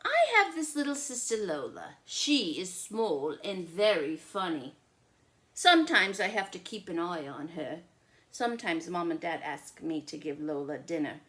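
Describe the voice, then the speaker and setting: A woman's voice: fairly low pitch, sing-song, unhurried, fairly bright tone. A woman voicing a patient, crafty big-brother boy from a storybook, in a coaxing, playful way.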